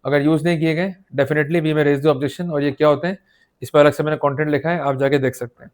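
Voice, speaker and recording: A man's voice: a little high, steady tone, fairly quick, a little mellow. A man with an Indian accent teaching law in an explanatory, didactic lecture style. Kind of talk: explanatory legal lecture mixing Hindi and English.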